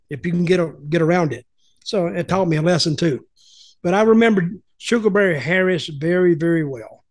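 Older man, rambling folksy storyteller, fairly high voice, video call from home. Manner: rambling, folksy, repeats words. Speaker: podcast host, storyteller. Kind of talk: casual storytelling chat. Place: home room with lamp. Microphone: headphones, webcam video call.

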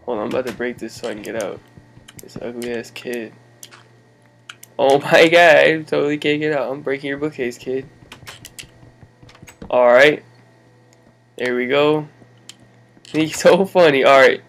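A man's voice: mid-range voice, steady tone, a little slow, gravelly, long pauses, a bit bright. A young man hosting his own gaming video, casually walking viewers through builds with a rambling, laid-back delivery full of fillers. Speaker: gaming channel host. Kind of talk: casual game walkthrough commentary.

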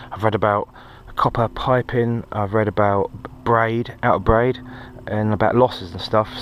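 A British man with a fairly low voice talks casually as he tinkers outdoors. He rambles and half mutters to himself like a hobby video host. The action camera picks up faint noise behind him. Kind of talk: casual hobby vlog chat. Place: outdoors in a garden. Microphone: handheld action camera.

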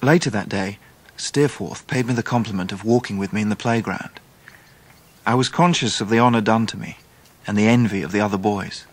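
English guy acting, looking back on his life, lowish husky voice, measured literary radio narration. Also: lightly acted. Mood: reflective. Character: grown man soberly recalling his schooldays. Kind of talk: scripted first-person narration in radio drama.

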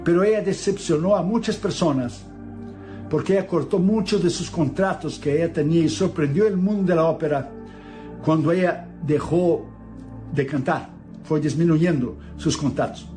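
A man's voice: a little high, moderate pace, mellow, faint music behind. A middle-aged man preaching a sermon in an earnest tone, reciting scripture in a solemn cadence. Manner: earnest preaching, reads Bible verses aloud in solemn cadence.

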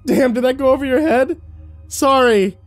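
A man's voice: extremely high pitch, normal speed, soft background music, pitched above the performer's own voice. A man voicing a cocky teenage boy who mocks and rambles. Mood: snide. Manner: mocking, laughing, rambling.

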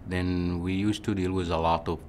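Egyptian-accented man in his forties, very deep voice, unhurried and halting interview answers, faint room tone. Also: flat delivery, darkish tone, a little hiss or hum. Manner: unhurried, halting, with restarts and "you know". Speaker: physician.

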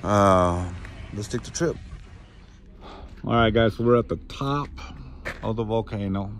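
Chatty trucker vlogging on a phone. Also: male voice, on the low side, unhurried, a little hiss or hum. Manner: relaxed, chatty, addresses viewers as guys. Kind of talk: casual travel vlog. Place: outdoors on a volcano trail and in a truck cab. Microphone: phone held at arm's length.

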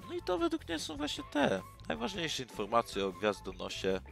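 A man's voice: a little high, wildly swooping pitch, moderate pace, creaky, music in the background. Young man casually explaining animal facts. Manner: casual, with fillers and self-corrections. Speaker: nature channel host. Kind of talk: informal educational narration.

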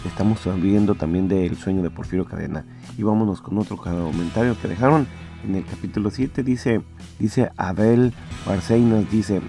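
A man's voice: lowish voice, vocal fry, mellow. A man hosting a podcast, chatting casually and reading out listener comments. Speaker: podcast host.

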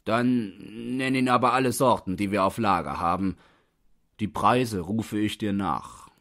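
A man voicing a kindly, eager coal dealer in an audiobook story, halting and questioning as he calls out. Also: on the low side, a bit bright, pitched above the performer's own voice.

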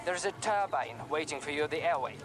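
Male actor as snide official, raised pitch, lively, condescending, video game, music behind. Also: fast, lightly acted. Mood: stern, snide. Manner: formal, condescending. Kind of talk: scripted video game acting.

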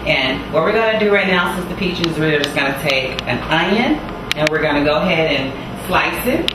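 A woman's voice: low-pitched, lively intonation, normal speed, very noisy. A woman hosting a cooking demonstration in a kitchen, talking in a chatty, folksy way as she walks viewers through each step. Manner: chatty, folksy, repeats "gonna go ahead". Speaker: cooking show host. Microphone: distant mic. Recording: echoey distant room with loud music. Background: loud music.

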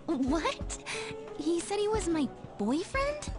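A coy, willful young woman in a video game, high-pitched and lilting. She teases with very lively swings of pitch over clear background music. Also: takes it slowly, full character voice. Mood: puzzled. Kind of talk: video game cutscene voice acting. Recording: music under dialogue.

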